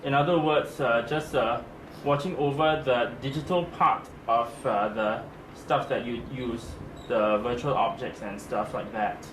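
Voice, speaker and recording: A man of ordinary pitch explains his role on the team, pausing on fillers as he goes. The room rings with echo, and steady noise sits under his voice. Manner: hesitant, with many "uh" fillers. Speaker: team member in charge of the design of digital objects.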